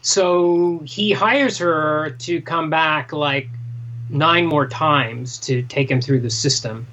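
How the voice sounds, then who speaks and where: A man's voice: a little high, slow pace, sharp tone, a little hiss or hum. A man co-hosting a casual book discussion, hesitant and often repeating himself, on slightly dull lo-fi audio. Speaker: podcast co-host.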